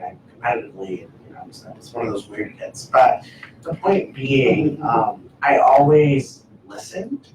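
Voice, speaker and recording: A man speaking on a discussion panel in an earnest, loose way, with many "like"s. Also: mid-range voice. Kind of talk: casual panel talk. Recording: echoey room from far off, faint noise.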